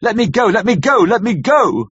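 A man's voice: sits high, sing-song, normal speed, a bit bright, husky, no room sound, voice pushed up, full character voice. A man voicing a desperate male asylum inmate who begs passionately to be released. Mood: desperate pleading. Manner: pleading, impassioned, imploring. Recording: dry muffled lo-fi.

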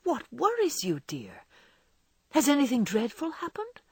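A woman's voice: fairly low pitch, sing-song, moderate pace, a bit bright, breathy edge, dry recording, studio quality, full character voice. A woman reading aloud voices an anxious young husband who speaks to his wife in an urgent, coaxing, tender way.

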